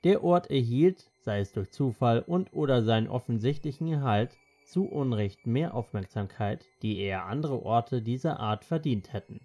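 A man's voice: medium pitch, lively intonation, normal speed, few pauses, warm tone, faint music behind. A man narrating a scripted documentary as its narrator.

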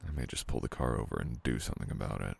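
Young male lead, very low gravelly tone, teasing and soft-spoken; scripted romance audio. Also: fast, no echo. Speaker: audio roleplay performer. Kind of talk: scripted boyfriend roleplay ASMR.

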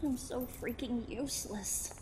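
A woman voicing an excitable, foul-mouthed youngster in a fan parody anime dub, exclamatory and sweary. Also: on the high side, measured pace, slight background noise, lightly acted, low-quality recording. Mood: dejected. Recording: loud music behind the voice.

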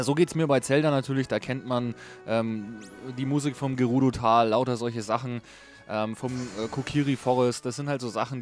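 Casting: male video host, chatty informal game reviewer, medium pitch, music underneath. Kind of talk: casual unscripted game review commentary. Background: music under a voice-over.

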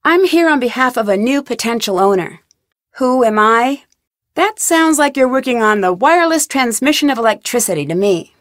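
A woman's voice: a little high, expressive, normal speed, a bit bright, no echo, studio quality. An actress playing a young female detective in a video game, asking polite, pointed, probing questions with composure. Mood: inquisitive.

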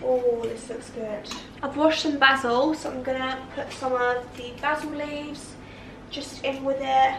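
A woman in her twenties chatting excitedly to camera in a casual home cooking vlog, full of fillers. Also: sits high, a little slow, noisy background. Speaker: vlogger. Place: home kitchen and living room, indoors.

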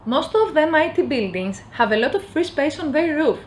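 A young woman from Greece, giving an earnest, upbeat scripted promotional pitch. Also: expressive, a little fast, slight background noise. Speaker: company presenter. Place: indoor office with bookshelves.

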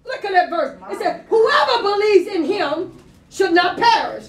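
A woman preaches with great fervor in a very high-pitched voice. She exhorts emphatically, repeating phrases and pushing toward a shout. The sound is echoey and distant from the microphone. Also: big pitch swings, measured pace. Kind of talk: fervent Pentecostal-style sermon with call and response. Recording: echoey room, far from the mic.

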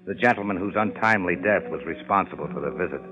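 A man's voice: deep voice, phone-quality sound, music in the background, natural voice. Man playing a suave crime boss, thin radio sound. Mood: suavely probing. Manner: formal, measured politeness. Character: suave, coolly menacing male crime boss, formal and condescending. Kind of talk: 1950s radio detective drama acting. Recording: thin, band-limited 1950s radio recording.

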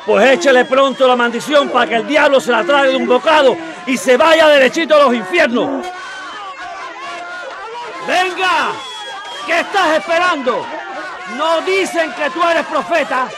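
Hostile mob guy, really high taunting yells, radio play, crowd going behind. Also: unhurried, pauses often. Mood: goading, scornful. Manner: shouting, taunting. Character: hostile mob agitator, goading and self-righteous. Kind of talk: Spanish-language radio drama acting.

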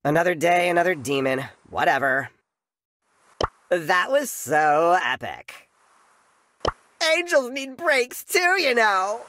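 Casting: a deadpan, bored angel teen, slow drawl, lots of pitch swing. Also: male voice, heavily acted. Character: sulky teenage angel boy, bored and sarcastic. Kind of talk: game voice line.

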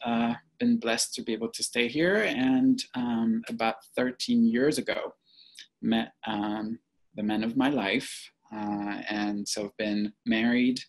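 Creaky-voiced man. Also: mid-range voice, rough recording. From French-speaking Belgium. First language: French. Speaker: podcast guest, psychotherapist. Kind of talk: reflective interview answers.

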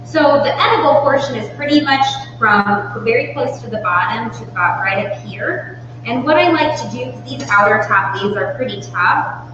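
A woman in her thirties giving an informal, step-by-step cooking demonstration as the host of a live class. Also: on the high side. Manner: informal, instructional, explains step by step. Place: indoor demonstration kitchen. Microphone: far from the speaker. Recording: distant mic, very echoey room, muffled, hum.

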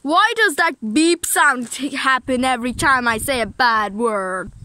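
A woman's voice: high voice, unhurried, sharp tone. A woman playing out a toy story outdoors, switching playfully between the toys' voices, recorded on a phone held close. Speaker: toy video storyteller voicing all the toys. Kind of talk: improvised toy play voices.

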